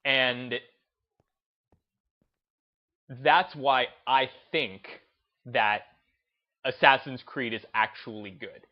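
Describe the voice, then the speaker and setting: A man hosting a gaming video essay, speaking in an emphatic, deliberate, unscripted way and repeating phrases to drive his points home. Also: medium pitch, fairly even intonation, muffled recording. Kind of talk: opinionated commentary, unscripted talk to listeners.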